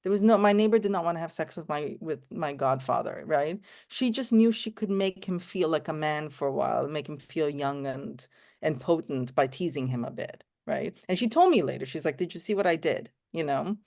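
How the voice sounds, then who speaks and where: A woman's voice: deep voice, moderate pace, gravelly, darkish tone. A woman born in Romania, raised in Canada, speaking in a casual panel discussion and telling personal stories with many restarts. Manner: thoughtful, storytelling, frequent "you know" and restarts.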